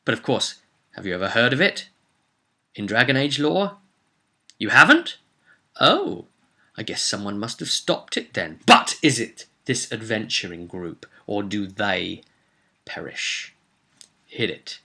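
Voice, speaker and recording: A man's voice: fairly low pitch, very animated, measured pace, creaky, pauses often, pushed and bright. A man recapping a tabletop roleplay session as game master, telling it theatrically with casual asides.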